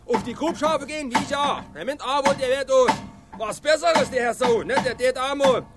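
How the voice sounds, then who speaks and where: An actor playing a loud, pushy, stammering son-in-law with a southwest German dialect. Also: male voice, very high-pitched, moderate pace, over loud music. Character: loud, blustering middle-aged male handyman son-in-law. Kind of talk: radio play acting.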